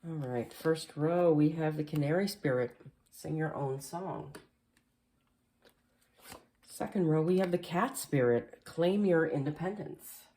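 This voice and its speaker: A woman reading tarot cards as a casual voice-over, sounding a little nasal and hoarse from allergies, chatty and full of "like" and "um". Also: deep voice, measured pace, mellow, slight background noise. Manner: chatty, filler-heavy, frequent "like" and "um". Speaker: tarot reader. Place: home, voice-over behind the camera.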